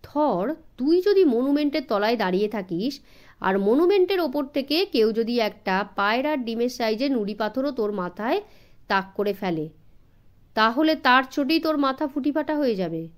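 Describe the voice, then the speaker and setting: Confident male sleuth for a children's audio story, instructive, higher register played by a woman. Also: animated, moderate pace, natural voice. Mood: instructive, assured. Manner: instructive, assured. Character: clever male detective, confident elder brother explaining deductions.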